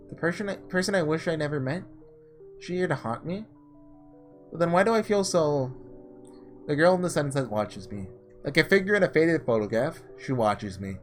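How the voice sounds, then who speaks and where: Animated man commentating over game music. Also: mid-range voice. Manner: informal, musing out loud. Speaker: gaming video host. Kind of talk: casual playthrough commentary, reading visual novel text aloud.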